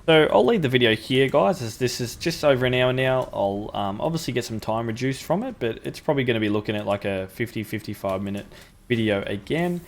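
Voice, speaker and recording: A man's voice: medium pitch, lively intonation, fairly quick. A young man with a sore throat, casually talking through a factory-building game as the channel's host, thinking aloud with ums. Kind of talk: gameplay commentary.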